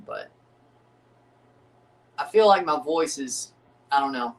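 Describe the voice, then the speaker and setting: A man hosting a livestream watchalong, chatting casually and excitably, with a voice gone a little scratchy from overuse. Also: mid-range voice, slow pace, far from the mic. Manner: rambling, excitable, repeats himself. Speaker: streamer hosting a match watchalong.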